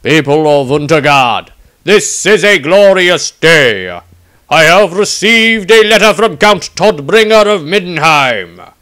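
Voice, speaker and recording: A man's voice: on the high side, sing-song, slow pace, sharp tone, pitched above the performer's own voice, exaggerated voice. A man voicing a proud veteran army captain in his fifties, making a grand, rallying public speech in a fantasy audiobook.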